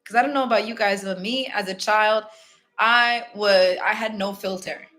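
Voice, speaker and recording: A woman's voice: mid-range voice, steady tone, moderate pace, fairly bright tone, soft background music. A woman from Ghana hosting a casual motivational self-help talk, candid and reflective, chatting directly to her viewers. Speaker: spiritual self-help host.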